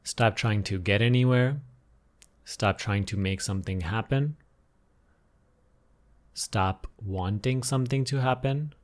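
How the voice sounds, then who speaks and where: Low-voiced man guiding a meditation. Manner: unhurried instructing with repeated phrases and pauses. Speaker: meditation teacher. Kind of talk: guided meditation, unscripted gentle instruction.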